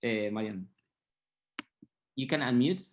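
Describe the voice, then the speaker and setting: A man moderating a webinar who reads out a guest's introduction haltingly, stumbling over some words, over a video call. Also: medium pitch, lively intonation, slight background noise. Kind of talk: reading a guest bio.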